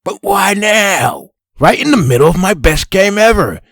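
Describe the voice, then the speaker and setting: A man playing a grumbling young slacker superhero in a comic dub, with a rough voice and an informal, whiny manner. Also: high-pitched, very animated, unhurried, a bit bright, dry recording, studio quality, full character voice. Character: grumbling slacker superhero with stumpy rock fingers.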